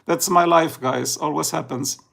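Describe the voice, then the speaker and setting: A man's voice: on the high side, steady tone, a little slow, gravelly, a bit bright. A man from Germany with a German accent, casually chatting on a livestream while showing photos, rambling and a bit drowsy. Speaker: livestream host. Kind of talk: casual live-stream chat. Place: indoors, filming a tablet slideshow.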